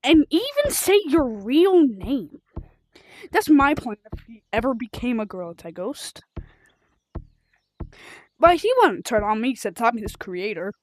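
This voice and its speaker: High, animated female game streamer. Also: measured pace, pauses often, no echo. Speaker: livestreamer of a video game. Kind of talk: rambling casual livestream chat while gaming.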